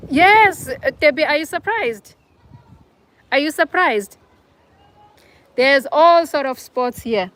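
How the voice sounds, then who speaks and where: A woman's voice: high voice, expressive, slow pace, long pauses, a bit bright, a little hiss or hum. A young South African woman with a South African accent, hosting a casual livestream and chatting with viewers, teasing them by name. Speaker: livestream host. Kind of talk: casual live chat with viewers.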